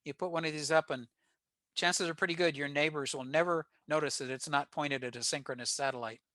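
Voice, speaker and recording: Bright, forceful American man presenting. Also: a little high, steady tone, brisk, dry recording. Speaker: presenter at a ham radio club meeting. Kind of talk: informal technical slide presentation.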